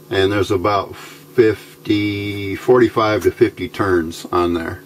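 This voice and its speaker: Male hobbyist instructor, lowish voice, casual and halting delivery, noticeable background noise on the recording. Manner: unhurried, halting, thinking aloud. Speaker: electronics hobbyist host. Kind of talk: casual hands-on tutorial narration. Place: indoor workbench, hands filmed from overhead.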